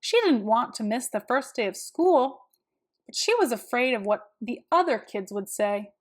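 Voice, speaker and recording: A woman narrating a children's storybook aloud in an expressive, deliberate manner. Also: a little high.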